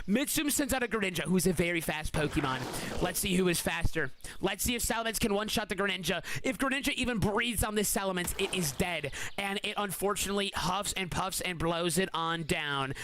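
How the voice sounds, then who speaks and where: A high-voiced man calls a game tournament live on stream, hoarse and worn but still hyped, shouting over big plays and chatting slangily with his audience between matches. His tone is bright and forceful despite the rough throat. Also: slight background noise. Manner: excitable hype commentary with slang. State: hoarse, voice feels weak.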